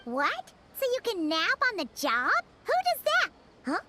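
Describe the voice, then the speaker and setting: A woman voicing a tiny, excitable, cheeky fairy companion in an anime game, with a squeaky childlike voice and exclamatory delivery. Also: unhurried, noisy background, full character voice. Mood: flustered, indignant. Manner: speaks of self in third person, exclaiming.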